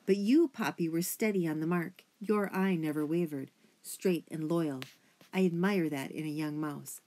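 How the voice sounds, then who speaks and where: A woman's voice: low voice, moderate pace, dark tone, natural voice. A self-important mouse patriarch from a children's storybook, acted out by a woman narrator. He speaks formally and sternly, with a pompous weight and ready indignation. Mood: grandly pompous.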